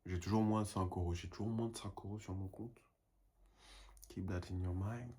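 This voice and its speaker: A man's voice: sits low, fairly even intonation, moderate pace, warm tone, breathy edge. A young man and painter talking in a casual vlog monologue, rambling and self-motivating, dropping English phrases now and then.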